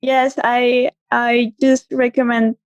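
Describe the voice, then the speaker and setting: A woman in her thirties from Venezuela and Colombia, a native Spanish speaker, answers interview questions in a measured, halting way. Her high voice stays in a narrow, even band, and the sound is close and dry. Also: clear, resonant tone, dry recording. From Venezuela, raised in Colombia. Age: 30s. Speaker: guest, media strategist. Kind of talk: casual interview chat.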